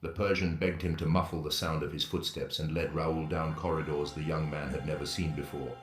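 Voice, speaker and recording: A man's voice: a bass voice, level tone, a little fast. A man narrating a scripted suspense story in a dramatic storytelling style. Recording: echoey, roomy sound with music.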